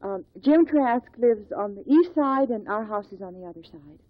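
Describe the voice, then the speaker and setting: A woman's voice: a little high, lively intonation, moderate pace. A woman playing a cooperative, helpful judge's wife testifying in a 1950s radio drama. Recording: tinny band-limited 1950s radio recording.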